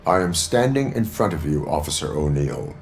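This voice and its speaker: An actor playing a stoic cyborg police officer in a video game, speaking stiffly and formally in a deadpan, robotic way. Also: male voice, extremely low pitch, normal speed, a little hiss or hum, full character voice. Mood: stern, flat. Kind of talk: scripted game dialogue.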